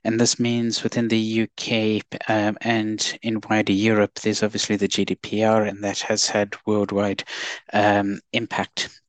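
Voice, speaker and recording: South African male guest expert, measured and methodical, low monotone, dry close mic. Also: no room sound. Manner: measured, restarts words, lists points. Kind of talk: podcast interview.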